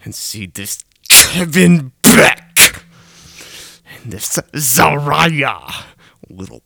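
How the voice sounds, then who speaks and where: Seething young man, slow gravelly whisper-rant. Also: on the high side, animated, sharp tone, dry recording. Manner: seething, hateful, often hissing in a whisper.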